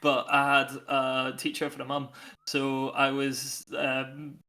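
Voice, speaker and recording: A man's voice: medium pitch, completely flat delivery, slow, sharp tone. A man from Scotland with a light Scottish accent, chatting wryly on a live stream and laughing mid-sentence. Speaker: production manager. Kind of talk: casual chat on a live stream.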